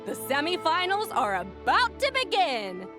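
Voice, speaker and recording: Very high-pitched peppy tournament announcer. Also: female voice, huge pitch swings, a little slow, exaggerated voice. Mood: hyped. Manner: showy, punchy, projected announcing. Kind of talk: video game acting, sports announcer delivery. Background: game music.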